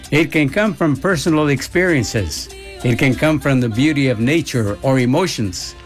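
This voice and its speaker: A man narrating a scripted introduction and asking interview questions in a smooth, polished way. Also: medium pitch. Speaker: host.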